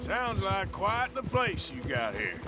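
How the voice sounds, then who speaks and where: A gruff, terse American outlaw. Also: male voice, high-pitched, wildly swooping pitch, slow pace, exaggerated voice. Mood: weary, dry. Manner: terse, dry, grudging. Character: gruff, reluctant, sardonic Wild West outlaw gunman. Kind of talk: video game voice acting. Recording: game music behind the voice.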